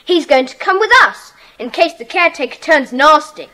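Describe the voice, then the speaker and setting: Bright, high-voiced British schoolboy leader. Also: female voice, animated, a little hiss or hum, poor audio. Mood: brisk, commanding. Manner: brisk, commanding. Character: bossy, eager schoolboy leader of a secret club. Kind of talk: children's audio drama acting.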